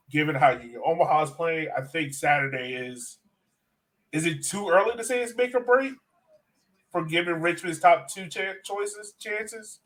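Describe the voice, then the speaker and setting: A man with a fairly high-pitched voice hosts a casual sports discussion. He sounds slightly distant from the microphone, talking informally with fellow panelists. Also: a bit bright. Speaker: podcast host.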